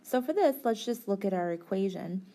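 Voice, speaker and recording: A woman's voice: mid-range voice, expressive, a little fast, warm tone. A woman tutoring physics, explaining a worked problem step by step in a methodical, informal way.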